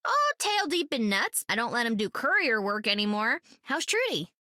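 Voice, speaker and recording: A woman's voice: on the high side, big pitch swings, normal speed, pushed and bright, no room sound, studio quality. A woman voicing a plucky, quirky young superhero in a video game, chirpy and casual. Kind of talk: video game character acting.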